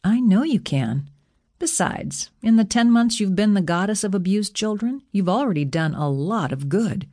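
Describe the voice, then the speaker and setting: A woman's voice: mid-range voice, animated, moderate pace, dark tone, pitched above the performer's own voice, lightly acted. A woman voicing an affectionate, teasing young male god in an audiobook, with a laid-back, reassuring manner.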